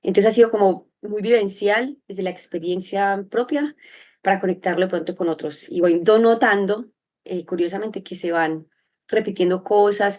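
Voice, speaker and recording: A woman with a Medellín Colombian accent, answering in an interview in an expressive, reflective, rambling way. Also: fairly low pitch. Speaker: interview guest, sexologist and psychologist.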